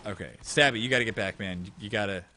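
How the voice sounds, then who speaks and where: A man's voice: lowish voice, fast talker, bright, forceful tone. A young man casting a live video game match, joking and rambling while losing his voice. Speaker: esports caster.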